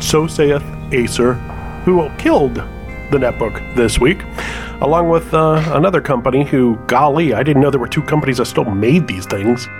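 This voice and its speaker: A man's voice: medium pitch, moderate pace, over music. A man co-hosting a casual tech podcast, breaking into mock-pompous speeches. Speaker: podcast co-host.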